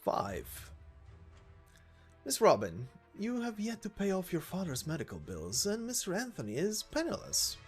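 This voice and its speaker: A man acting out a theatrical, sadistic gentleman villain, swooping pitch. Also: huge pitch swings, faint music behind, exaggerated voice. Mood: gloating, intense. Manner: mock-polite, drawn-out, savoring words. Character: sadistic, theatrical gentleman villain taunting his victims, male. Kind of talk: dramatic game story voice acting.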